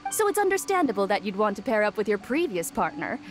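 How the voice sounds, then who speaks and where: A woman's voice: mid-range voice, big pitch swings, quick pace, faint music behind, lightly acted. A woman voicing a mysterious shrine maiden with a theatrical, coolly taunting and sinister manner in an anime video game. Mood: composed, official.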